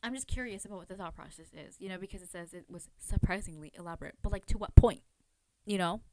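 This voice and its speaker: A woman's voice: lowish voice, fast, darkish tone, dry recording. Rambling, informal woman podcaster in dry sound. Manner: informal, rambling, self-questioning, filler-heavy with frequent "like". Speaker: podcast host. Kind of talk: casual chatty true crime podcast commentary.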